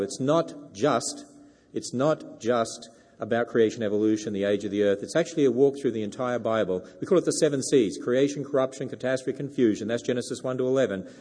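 An Australian man delivers a sermon to a church crowd through a handheld mic. He talks in a folksy, joking way at an ordinary pitch. The sound carries a long hall reverb. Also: mellow. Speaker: guest speaker. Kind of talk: sermon to a congregation. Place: church sanctuary. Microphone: wireless handheld microphone.

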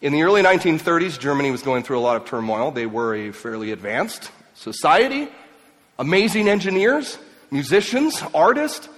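A man's voice: a little high, sing-song, normal speed. Impassioned man in a reverberant hall. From Mexico and Ecuador. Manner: impassioned, emphatic, swings between loud and quiet. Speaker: speaker. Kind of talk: speech to an audience.

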